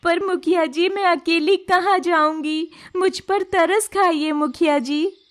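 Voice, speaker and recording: A woman's voice: very high voice, fairly even intonation, slow. A woman voicing a meek, sorrowful young village woman in a cartoon story, deferential and pleading. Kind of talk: cartoon moral story voice acting.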